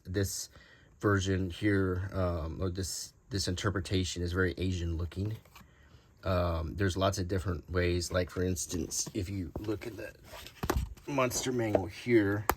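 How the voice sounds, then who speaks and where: A man's voice: sits low, a little hiss or hum. A middle-aged or older man giving unscripted, casual show-and-tell commentary in an easygoing, rambling way, thinking aloud. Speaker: host of a hobby collecting channel.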